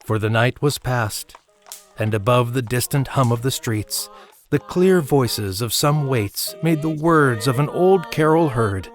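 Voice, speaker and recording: A male narrator reads a dramatized story in a measured, scripted style. His pitch is medium, and music plays clearly behind him.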